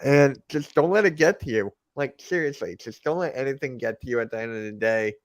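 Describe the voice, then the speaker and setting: A man speaks as a guest in a casual interview. He sounds laid-back and jokey while giving simple advice. His voice sits at an ordinary pitch for a man. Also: animated, fairly quick, dry recording. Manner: laid-back, joking, gives plain advice. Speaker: guest content creator.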